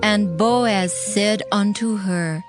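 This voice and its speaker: A woman reading scripture as a narrator in a measured, formal storytelling manner. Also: medium pitch, music in the background.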